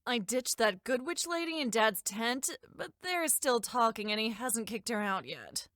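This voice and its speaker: Brash young fantasy heroine, woman acting, bright and forceful, animated, playful cartoon delivery. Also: a little high, measured pace, no room sound, studio quality. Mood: casual. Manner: cheeky, rambling, expressive. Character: brash, playful young dragon-tending big sister in fantasy.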